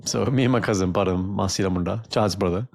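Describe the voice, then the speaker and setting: A man with a gravelly, fry-edged voice chats loosely on a podcast. He tells stories animatedly and jokes around. The sound is dry and close, with no room around it. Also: low-pitched, fast, no room sound. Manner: animated, jokey storyteller. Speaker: podcast co-host. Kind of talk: casual podcast chat.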